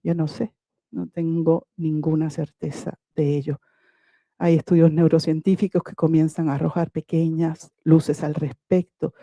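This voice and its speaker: A woman poet reading a prepared talk about her book in a measured, lyrical and reflective way. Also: low-pitched, dark tone, dry recording.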